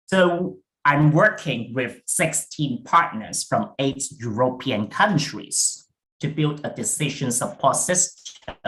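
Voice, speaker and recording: A British man delivers a prepared research talk at a slow, unhurried pace. His pitch rises and falls a lot as he stresses key points. The measured, deliberate delivery comes through a video-call mic. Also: a bit bright, low-quality recording. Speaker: invited research speaker.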